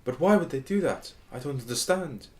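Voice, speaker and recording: A man's voice: medium pitch, lively intonation, a little fast, lightly acted. A man playing an innocent, curious grandson in a radio drama, with a naive, questioning manner. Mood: puzzled, curious.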